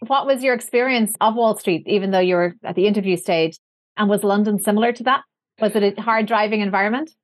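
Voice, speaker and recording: Measured woman podcast host. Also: mid-range voice, low-quality recording. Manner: clear, measured presenter delivery. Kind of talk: scripted intro and interview questions.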